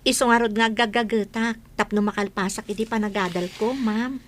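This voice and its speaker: A woman's voice: medium pitch, steady tone, fairly quick, slight background noise, natural voice. A woman with an Ilocano accent playing a polite, hardworking young student cleaner in a comedy radio drama. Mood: earnest. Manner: respectful, deferential.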